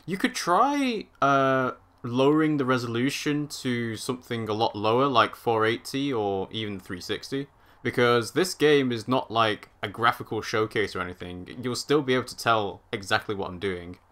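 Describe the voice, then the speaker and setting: Bright-toned man streaming a game. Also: medium pitch, moderate pace. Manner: chatty, thinks out loud, hums while walking. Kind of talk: live let's-play commentary.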